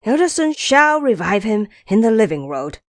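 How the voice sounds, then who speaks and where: A woman's voice: on the high side, very animated, moderate pace, dry recording, clean studio sound. A woman voicing a mischievous, boastful male monkey king in a storybook reading, teasing and bossy in manner. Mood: lively boastful.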